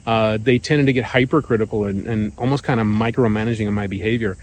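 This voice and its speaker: A middle-aged man talking in a relaxed, rambling way, with many fillers and restarts, as a vlogger sharing his views. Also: on the low side, fast, a little hiss or hum, poor audio. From United States. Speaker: vlogger living abroad. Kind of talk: casual monologue.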